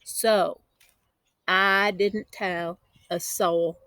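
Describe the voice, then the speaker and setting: A woman's voice: medium pitch, steady tone, drawn-out words, fairly bright tone, dry recording, voice pushed down. A woman voicing a spirited, boastful country child from a storybook, telling the tale in a folksy, emphatic, dramatic way. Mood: chatty, eager.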